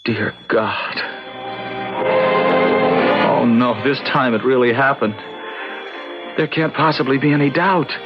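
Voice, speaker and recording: A haunted, despairing man, played by an actor who speaks heavily and repeats himself. It is old radio drama, a little dull in sound, with music loud underneath. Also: mid-range voice, lightly acted. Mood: horrified. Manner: heavy, halting, repeats himself. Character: despairing man convinced a computer foretold death.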